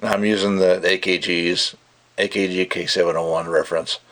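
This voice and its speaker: A man's voice: sits low, level tone, moderate pace, fairly bright tone. A man giving a casual, rambling and opinionated product review, talking off the cuff.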